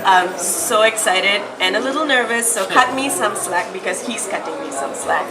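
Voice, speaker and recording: A woman's voice: mid-range voice, lively intonation, normal speed. A woman hosting an interview and asking questions in an eager, chatty way. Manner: eager and chatty, laughs easily. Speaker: interviewer, YouTube host. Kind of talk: casual interview. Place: indoor public space. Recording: echoey public space with loud crowd.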